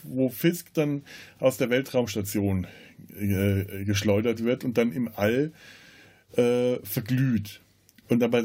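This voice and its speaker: A man co-hosting a podcast, casually discussing film in a halting, searching way. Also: mid-range voice, mellow. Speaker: podcast co-host.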